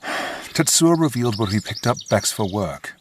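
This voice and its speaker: A man performs a dutiful household servant in an audio drama. His low voice is formal and measured, with a dry, roundabout discretion. Faint birdsong and noise sit behind him. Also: expressive, close to an everyday voice. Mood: measured, discreet.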